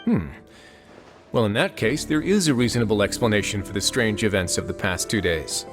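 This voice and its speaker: A man's voice: lowish voice, lively intonation, a little fast, over music, close to an everyday voice. A man voicing a composed, deductive prison warden in a video game. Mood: explaining.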